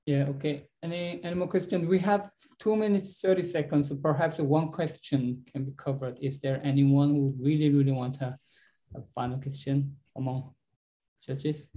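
A man in his thirties hosting an online pitch event as moderator, speaking over a video call. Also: fairly even intonation, moderate pace, warm tone. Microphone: video call microphone.

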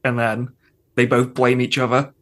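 A man's voice: mid-range voice, flat delivery, moderate pace, a bit bright. A man chatting informally and agreeably as a guest on a podcast. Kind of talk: casual chat.

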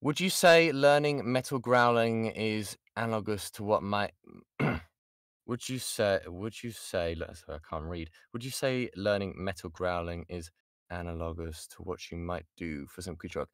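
A British man with a fairly low voice in a dry, close-sounding recording. He teaches informally like a workshop host, chatting along, interrupting himself and repeating points to stress them. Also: moderate pace, clear, resonant tone, no room sound. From United Kingdom. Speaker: voice actor giving a workshop. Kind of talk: informal online lesson with audience questions. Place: indoors, online voice chat.

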